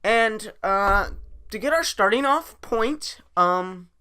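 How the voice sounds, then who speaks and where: A man casually improvising a story as host, halting and thinking aloud as he goes. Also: high voice, animated, sharp tone, clear, resonant tone.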